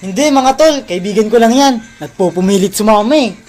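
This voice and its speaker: A woman's voice: medium pitch, animated, fairly quick, over music, close to an everyday voice. A woman acting a bashful, evasive young man among his buddies in an amateur radio drama, casual and slangy. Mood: defensive.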